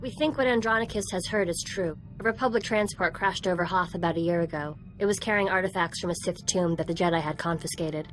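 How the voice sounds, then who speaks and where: A woman's voice: fairly low pitch, fast, few pauses, faint music behind, lightly acted, rough recording. A woman playing a principled, cautious young companion in a video game cutscene, speaking earnestly.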